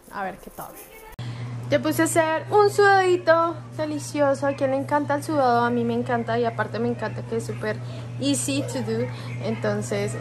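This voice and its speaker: A young woman with a Colombian Spanish accent chats casually as a home vlogger, affectionate and fond of diminutives. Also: high-pitched. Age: young adult. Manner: affectionate, uses many diminutives. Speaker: vlogger and mother. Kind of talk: casual home vlog chat. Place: indoors in an apartment.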